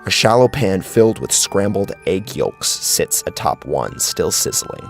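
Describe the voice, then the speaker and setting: Male narrator, horror fiction, gravelly fry, lively range, dramatic delivery. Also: low voice, a little slow. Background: clear music.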